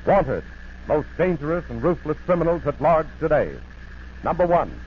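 A man's voice: a little high, expressive, moderate pace. A man announcing in a 1940s radio advertising style, with an emphatic, polished sales delivery. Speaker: commercial announcer. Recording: muffled noisy 1940s radio recording.

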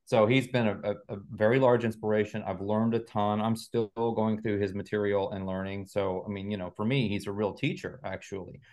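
A man's voice: fairly low pitch, steady tone, brisk, hardly any pauses, a little mellow. A man speaking as an interview guest, explaining conversationally and carefully qualifying his points like a teacher.

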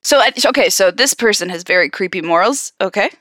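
A woman hosting a story-reading show, switching between expressive narration and slangy, sarcastic casual asides. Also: mid-range voice, brisk, no room sound, clean studio sound. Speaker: podcast host reading horror stories aloud. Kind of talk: story read-aloud mixed with casual banter.

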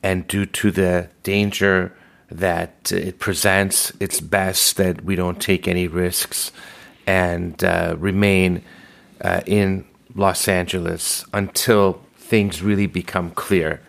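A man in his fifties, born in Iran, telling his life story in a measured, reflective way during a podcast interview. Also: low-pitched, level tone, gravelly, fairly bright tone. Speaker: podcast host being interviewed. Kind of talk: conversational interview.

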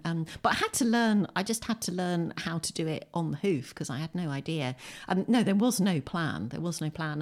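Woman, British accent, low-pitched voice, chatty, self-deprecating, conversational interview storytelling. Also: darkish tone. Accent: British English. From Britain. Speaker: poet and writer being interviewed. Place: in a home.

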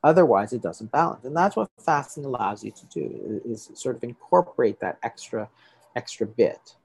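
A man's voice: medium pitch, expressive, normal speed, vocal fry, husky, rough recording. A middle-aged man, a doctor, explaining things to an interviewer, often restarting and checking with "right?". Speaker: doctor treating patients.

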